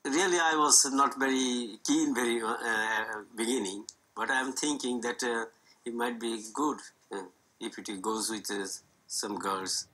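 Bright-voiced man in his sixties, hesitant. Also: mid-range voice, fairly even intonation, measured pace, low-quality recording. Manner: hesitant, broken phrases. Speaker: father of dating agency member. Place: small home kitchen.

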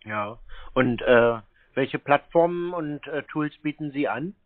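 A man's voice: on the high side, expressive, slow, phone-quality sound. A man in a phone conversation, putting questions to a salesman. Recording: band-limited phone sound.

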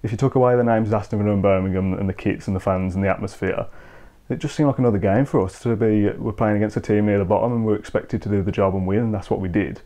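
Brief: young guy, low mellow voice, quick conversational football talk over video call. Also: steady tone. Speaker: football podcast co-host. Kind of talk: casual post-match football chat. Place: home room, indoors. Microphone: video call with earphone mic.

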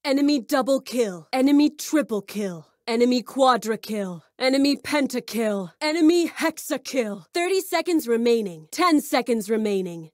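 A dramatic female arena announcer for a video game, with a high, swooping voice. She is commanding and excitable, delivering each short call slowly and with heavy emphasis. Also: no echo, studio quality. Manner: emphatic, deliberate, declamatory short calls.

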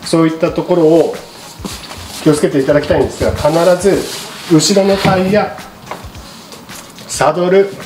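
A man's voice: on the high side, normal speed, pauses often, noisy background. Middle-aged man explaining in a roomy space. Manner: polite, explanatory, unscripted. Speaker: bicycle shop presenter. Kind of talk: casual product explanation to camera. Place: small indoor studio with paper backdrop. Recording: roomy echo, music behind.

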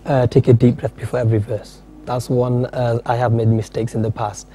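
A man's voice: medium pitch, little pitch movement, fairly quick, dark tone. A young man being interviewed as a singer on a TV studio set, speaking modestly and chatting easily into a handheld mic. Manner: modest, chatty, laughs off questions. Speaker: nasheed singer guest.

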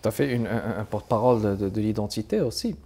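A middle-aged man hosting an arts interview show in a TV studio, speaking in a formal, measured presenter manner. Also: on the low side, warm tone, breathy edge. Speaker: TV host.

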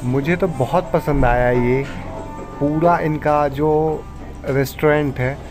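A man's voice: on the high side, steady tone, slow pace, over music. Cheery young man touring a restaurant. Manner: enthusiastic, addresses viewers directly. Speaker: food vlogger. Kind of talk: casual food vlog narration. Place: indoor restaurant.

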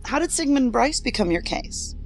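A woman's voice: fairly high pitch, very animated, moderate pace, gravelly, faint music behind. A woman playing an inquisitive, outspoken federal agent in a science fiction audio drama, direct and conversational.

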